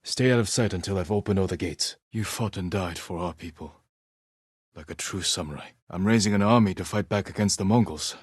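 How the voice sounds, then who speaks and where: A grimly resolute samurai leader for a video game. His low voice has a husky grain and sits in a very dry, close recording. He speaks with solemn, measured restraint in short, clipped lines. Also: dry recording. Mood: hushed, grave. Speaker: video game lead character. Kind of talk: dramatic video game voice acting.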